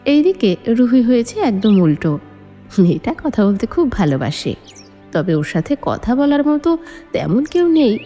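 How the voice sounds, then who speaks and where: A woman's voice: fairly high pitch, big pitch swings, normal speed, mellow. A woman reading a romance novel aloud as a dramatic story narrator. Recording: long reverb, music underneath. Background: background music.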